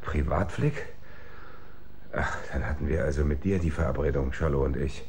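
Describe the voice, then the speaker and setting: A cocky young small-time crook with a strikingly deep voice. He sounds insolent and defensive in a radio crime drama, recorded with faint background noise. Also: moderate pace. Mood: demanding, insolent.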